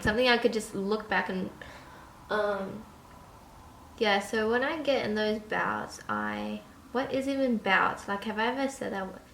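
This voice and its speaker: Slow-talking candid young woman, swearing. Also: mid-range voice, creaky, pushed and bright, roomy sound, a little hiss or hum. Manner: rambling, candid, swears freely, trails off. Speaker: vlogger. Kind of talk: unscripted confessional vlog. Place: her bedroom.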